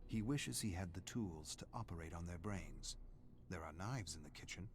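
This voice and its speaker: A man playing a brooding, menacing narrator who tells a dark horror game story in a deliberate, dramatic way. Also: sits low, lively intonation, background noise. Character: brooding, menacing male narrator of a sadistic doctor's thoughts. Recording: music playing behind the voice.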